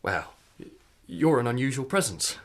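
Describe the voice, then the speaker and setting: Hesitant, kindly man, awkward, rambling, lively intonation, naturalistic radio play. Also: medium pitch, moderate pace. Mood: awkward, curious. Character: awkward, curious, kindly small-town man. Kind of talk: naturalistic radio drama acting.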